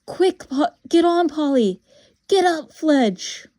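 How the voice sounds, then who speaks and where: A woman's voice: mid-range voice, slow, warm tone, close to an everyday voice. A woman voicing a brave, stubborn young boy in a storybook, plain-spoken and defiant. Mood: alarmed, defiant.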